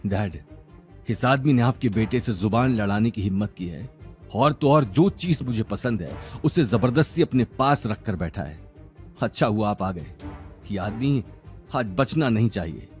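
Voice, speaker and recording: A man's voice: on the low side, animated, unhurried, darkish tone, faint music behind. A man voicing an arrogant, spoiled rich young man, menacing and boastful, in an audio drama. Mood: entitled, vengeful.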